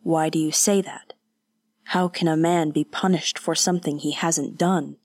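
A woman voicing an awkward, earnest young male warrior who speaks haltingly and often drops to a whisper. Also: sits low, steady tone, a little mellow, no room sound, studio quality.

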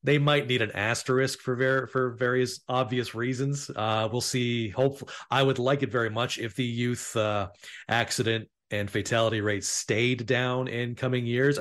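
A man co-hosting a casual discussion, speaking in a deliberate, slightly wry manner. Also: mid-range voice, fairly bright tone. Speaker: talk show co-host.

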